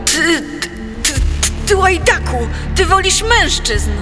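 An actress playing a shocked older wife in a true-crime audio drama, halting and stammering in disbelief, in a long echo. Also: female voice, very high voice, very animated, over music, heavily acted. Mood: appalled disbelief. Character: shocked older wife, appalled at her husband.

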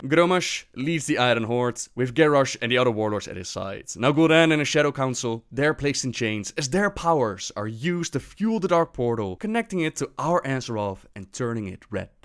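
A man's voice: mid-range voice, animated, moderate pace, few pauses. A man hosting a lore video with scripted storytelling narration.

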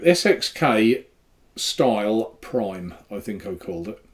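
A man's voice: lowish voice, sing-song, a little slow. A man in his sixties from Norfolk with a Norwich accent, co-hosting a casual live stream and reading viewer comments with hesitant fillers and frequent chuckles. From Norfolk, England. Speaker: co-host of a vaping live show. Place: home room, indoors.

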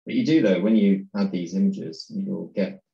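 Low gravelly man painting on stream. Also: normal speed, roomy sound, dull audio. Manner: relaxed, thinking aloud while painting. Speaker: digital art tutor. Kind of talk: live-stream painting commentary.

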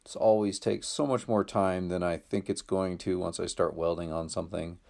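A man's voice: low-pitched, fairly even intonation, normal speed, gravelly, a little mellow. An American man in his thirties talking casually to viewers in a laid-back, plain-spoken way, like a DIY vlogger. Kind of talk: casual vlog narration to viewers.

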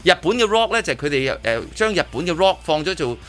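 A man's voice: on the high side, big pitch swings, fast talker, fairly bright tone. A man with a Hong Kong Cantonese accent hosting casual radio chat, often repeating words and restarting as he reviews a performance. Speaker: radio host. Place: radio studio.

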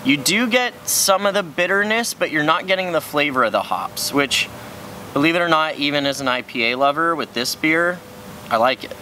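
A young man with a bright, mid-pitched voice reviews beers on an outdoor patio. He states his opinions plainly and without fuss. A faint hum of outdoor noise carries under him. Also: animated, moderate pace. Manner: frank, matter-of-fact opinions. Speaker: beer review co-host. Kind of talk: casual beer tasting review.

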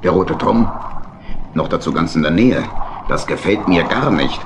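A man's voice: low voice, lively intonation, normal speed, background noise. An actor playing a genial, hospitable badger boatman in a children's radio play.

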